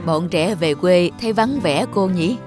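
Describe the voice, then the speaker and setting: A woman plays a humble old cartoon housekeeper. She speaks hesitantly and apologetically, lower than the younger women, with clear music behind. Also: a little slow, close to an everyday voice. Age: older. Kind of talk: cartoon dubbing.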